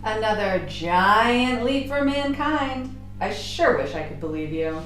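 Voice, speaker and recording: A woman performs a sharp-tongued female scientist in a radio drama. She is blunt and accusing, with a very lively range of pitch. The sound is roomy, with faint music underneath. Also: takes it slowly. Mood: angry, accusing. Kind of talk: amateur radio play acting.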